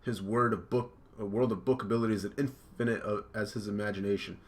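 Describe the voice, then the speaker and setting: Man reading as a steady, loyal fishman warrior, low-key, from a comic. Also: fast talker, slight background noise, close to an everyday voice. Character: calm, loyal middle-aged fishman warrior.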